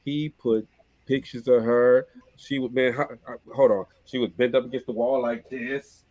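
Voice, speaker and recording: A man's voice: medium pitch, steady tone, normal speed, a little mellow, dry recording. Male host, mid-range voice, dry close mic, rowdy storyteller. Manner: boisterous storyteller, joking. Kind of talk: casual panel chat.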